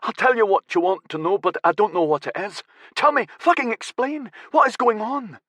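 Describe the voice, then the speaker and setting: A man's voice: high-pitched, normal speed, no room sound, pitched above the performer's own voice. A man doing a frantic, swearing captive. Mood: panicked, furious. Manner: panicked shouting and swearing. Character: terrified, furious young man held captive.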